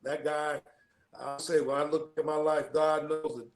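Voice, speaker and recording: A man's voice: a little high, little pitch movement, normal speed, reverberant, soft background music. A man, a guest coach, giving an earnest personal testimony and repeating key phrases for emphasis.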